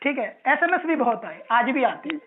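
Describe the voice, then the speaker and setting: A man's voice: extremely high pitch, sing-song, normal speed, soft background music. A man in the role of a borrower on a phone call, talking in an aggrieved, persistent way and asking to be heard out. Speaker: borrower on a loan settlement call. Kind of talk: phone conversation. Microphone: telephone line. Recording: narrowband phone call recording.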